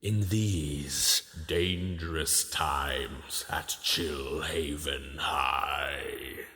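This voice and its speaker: A man's voice: rumbling low, drawn-out words, pushed and bright, slightly rough, exaggerated voice. A man playing an ominous narrator in a dramatic scripted trailer for a supernatural teen drama.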